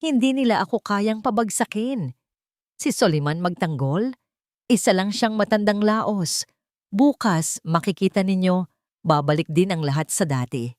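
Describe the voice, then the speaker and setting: A woman's voice: mid-range voice, expressive, measured pace, darkish tone, no room sound, clean studio sound, lightly acted. A woman as a boastful, arrogant millionaire villain. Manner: cocky, scornful.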